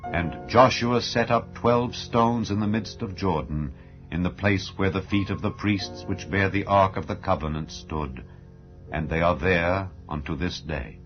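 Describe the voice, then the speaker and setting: Male audiobook narrator, low register, solemn and declamatory scripture reading, slightly muffled recording. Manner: measured, formal, declamatory. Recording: dull lo-fi audio with background music. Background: background music.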